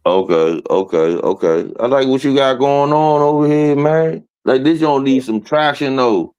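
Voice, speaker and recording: A man chatting casually as a guest on a livestream, excitable, repeating words and swearing freely. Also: mid-range voice, normal speed, smooth, lo-fi sound. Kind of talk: casual chat.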